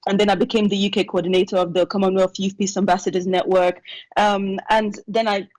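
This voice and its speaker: A young woman speaks over a remote call, recounting her path to a panel with a chatty, easygoing manner. Her voice sits at an ordinary middle pitch. The sound is dry and close, with no room around it. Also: flat delivery, no echo. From born in Nigeria, raised in England. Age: young adult. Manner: chatty and warm, quick asides with light laughs. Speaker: alumna panelist. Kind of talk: personal story for a panel. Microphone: remote video call.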